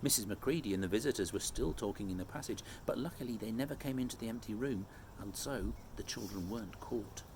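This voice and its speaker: A man reading a children's storybook aloud as expressive narration. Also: lowish voice, fast, noisy background.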